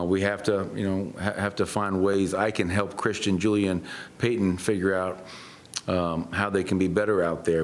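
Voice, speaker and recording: Middle-aged male head coach, very low flat voice, measured post-game answers, faint hiss behind. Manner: measured, matter-of-fact, many fillers. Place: press room.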